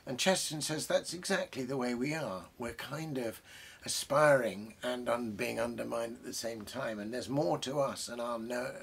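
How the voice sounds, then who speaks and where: A British man in his sixties, a host talking about books and reading aloud, reflective and savouring his phrases with deliberate pauses. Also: mid-range voice, vocal fry, fairly bright tone, breathy edge. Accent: British English. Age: 60s. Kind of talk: bookish commentary and reading aloud. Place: small book-crammed study, indoors.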